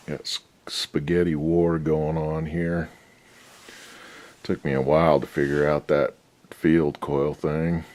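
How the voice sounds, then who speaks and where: A man's voice: rumbling low, little pitch movement, takes it slowly, a little mellow. A man restoring an old radio at his workbench, giving rambling, folksy commentary with a lot of swearing. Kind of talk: casual workshop commentary.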